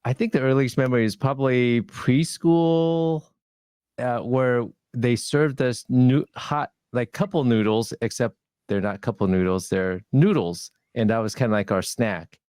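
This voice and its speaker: A man's voice: mid-range voice, a little slow, darkish tone, smooth, dry recording. A man from Taiwan on a urology panel, talking casually with modest, dry humor.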